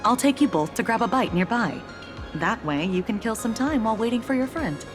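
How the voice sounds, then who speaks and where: A woman's voice: fairly high pitch, expressive, brisk, over loud music, close to an everyday voice. A woman playing a composed, genial government officer in a video game, speaking politely and explaining things. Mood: wry, friendly. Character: composed, genial female officer of an anomaly department.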